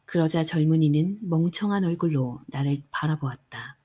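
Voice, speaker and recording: Soft-spoken woman for a sleep audiobook, husky and calm. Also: deep voice. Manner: soft and unhurried. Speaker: audiobook narrator. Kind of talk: calm bedtime audiobook reading.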